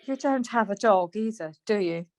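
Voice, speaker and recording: A woman playing a brisk, plain-spoken, chatty wife in a radio play, chiding and questioning. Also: medium pitch, lively intonation, close to an everyday voice. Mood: incredulous.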